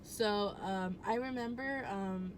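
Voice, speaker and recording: A woman's voice: medium pitch, takes it slowly. A young woman telling a personal story at home in a casual, rambling way, full of "um" and "like" and easy swearing. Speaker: vlogger. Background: air conditioner hum.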